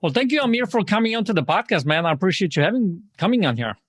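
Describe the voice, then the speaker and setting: A man's voice: sits high, big pitch swings, quick pace, a bit bright, no echo. Man hosting a motivational podcast, dry recording. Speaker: podcast host. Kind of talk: upbeat podcast introduction and interview.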